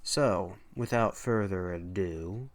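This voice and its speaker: A man hosting and narrating horror story readings, with deliberate, halting phrasing that moves between casual introduction and dramatic reading. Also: low-pitched.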